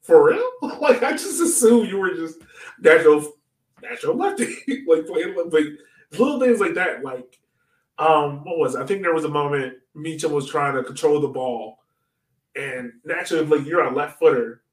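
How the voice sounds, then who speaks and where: A man's voice: fairly high pitch, expressive, moderate pace. A man co-hosting a sports podcast, chatting casually about soccer and telling stories in informal slang. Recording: roomy echo, distant from mic.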